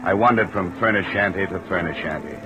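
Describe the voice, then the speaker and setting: Male lead, acted: principled hard-boiled sleuth, low voice, terse sombre delivery, old radio drama with music behind. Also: quick pace, highs cut off, close to an everyday voice. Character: tough, weary, principled male insurance investigator. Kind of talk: 1950s radio acting and first-person narration.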